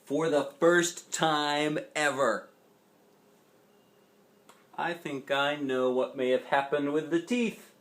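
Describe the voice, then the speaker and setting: A man's voice: a little high, steady tone, slow pace, pauses often, a bit bright. A man reading a children's picture book aloud as a dad would, with dramatic emphasis and chatty questions to the listener. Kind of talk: expressive children's storybook narration with chatty asides.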